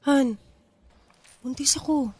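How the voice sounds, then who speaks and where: High-voiced, troubled young Filipina. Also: female voice, slow pace, a little mellow, faint music behind. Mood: anxious. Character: troubled pregnant nineteen-year-old woman, defiant and wounded. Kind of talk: Tagalog radio drama acting.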